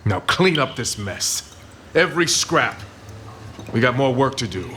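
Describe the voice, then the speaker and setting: An actor playing a commanding, condescending boss in a radio play. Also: male voice, mid-range voice, big pitch swings, unhurried, bright, forceful tone, a little hiss or hum. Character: domineering male boss.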